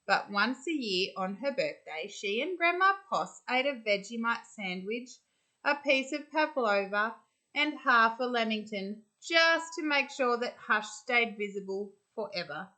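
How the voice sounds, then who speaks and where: Slow, bright Australian woman storyteller. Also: on the high side. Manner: expressive, deliberate storytelling with stretched words. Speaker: school storyteller. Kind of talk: children's storybook narration.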